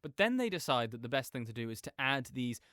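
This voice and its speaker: A man's voice: medium pitch, very animated, sharp tone, no room sound, studio quality. Rambling, opinionated gamer roommate, played by a man. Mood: explaining, griping. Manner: rambling, self-correcting, halting, apologetic asides. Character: opinionated gamer roommate ranting good-naturedly about games. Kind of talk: rambling casual rant, sleep-aid audio roleplay.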